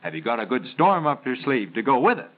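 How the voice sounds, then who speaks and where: A man's voice: medium pitch, sing-song, quick pace. A man delivering scripted 1930s film patter in a playful, theatrical, questioning manner. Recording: tinny 1930s film soundtrack, faint noise.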